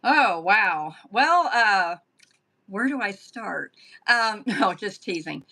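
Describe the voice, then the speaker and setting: A middle-aged woman from the southern United States with a softened Tennessee accent, chatting easily as a guest on a live stream interview. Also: mid-range voice, animated, takes it slowly. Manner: chatty, with frequent ums and restarts. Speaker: political candidate, guest. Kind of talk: casual interview answers.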